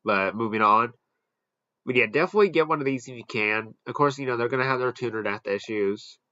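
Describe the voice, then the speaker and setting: A man's voice: mid-range voice, a little fast, pushed and bright, no echo, dull audio. A man giving casual, off-the-cuff commentary as he hosts a product ranking. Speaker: host ranking weather radios.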